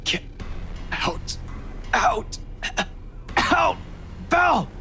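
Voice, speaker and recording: A woman's voice: very high-pitched, wildly swooping pitch, extremely slow, gravelly, bright, forceful tone, slight background noise, pitched above the performer's own voice, exaggerated voice. A woman voicing a wounded, choking heroine in a visual novel, desperately protective, strained and pleading. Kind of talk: visual novel character voice-over.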